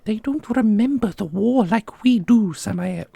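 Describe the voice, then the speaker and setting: Husky, solemn divine guardian played by a woman. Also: mid-range voice, animated, unhurried, mellow, no room sound, full character voice. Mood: grave. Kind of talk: ASMR fantasy audio roleplay.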